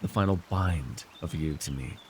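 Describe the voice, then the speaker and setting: A man playing a scheming, possessive dark sorcerer ruler in a fantasy roleplay, speaking theatrically and condescendingly with sighs and deliberate pauses. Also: rumbling low, background noise. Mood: smug, grandiose. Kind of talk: immersive ASMR fantasy roleplay acting.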